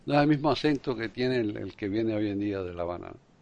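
A man's voice: on the low side, lively intonation, creaky, darkish tone, highs cut off. A man with a Cuban accent chatting casually, insistent and repeating words as he talks. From Cuba. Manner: quick, insistent, repeats words while talking. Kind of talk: casual chat.

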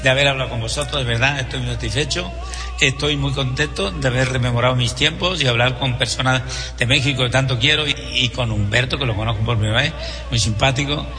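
An older man from Spain with a Spanish accent, a singer and voice teacher chatting effusively as a podcast guest. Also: mid-range voice. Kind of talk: casual radio chat. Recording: long hall reverb, faint music.

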